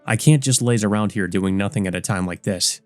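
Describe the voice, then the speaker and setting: A man voicing an earnest, resolute teenage boy from a visual novel, measured and determined. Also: fairly low pitch, faint music behind, lightly acted. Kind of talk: visual novel character reading.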